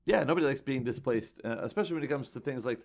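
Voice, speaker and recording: A man's voice: medium pitch, lively intonation, very fast, gravelly. A man co-hosting a casual comics podcast, joking and teasing his partner. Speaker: podcast co-host. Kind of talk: casual chat.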